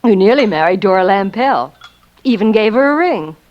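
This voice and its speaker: An actress playing a contented middle-aged wife and mother in an old radio drama, homely and gently teasing. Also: medium pitch, expressive, moderate pace, highs cut off, lightly acted. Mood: wry, teasing. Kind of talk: 1940s-50s radio drama acting.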